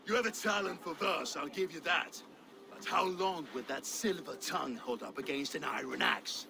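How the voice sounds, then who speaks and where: An actor playing a boastful, scornful Danish Viking steward, gravelly and theatrical in his taunts, in a video game cutscene. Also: a little high, moderate pace. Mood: grudging, menacing. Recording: game ambience, clear background noise.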